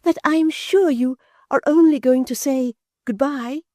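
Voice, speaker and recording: A man voicing a gentle, kind young woman who pleads softly, in a storybook reading. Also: very high-pitched, measured pace, no echo, studio quality, voice pushed up. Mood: pleading. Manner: soft, pleading.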